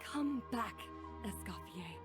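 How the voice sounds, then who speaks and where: A woman playing a remorseful, self-doubting head chef in a video game, speaking in an earnest, halting way. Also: mid-range voice, animated. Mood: guilty, pleading. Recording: game music behind the voice.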